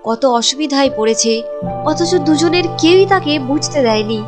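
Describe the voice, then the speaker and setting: A woman's voice: high voice, animated, moderate pace, over music. A woman narrator reading a scripted cartoon story.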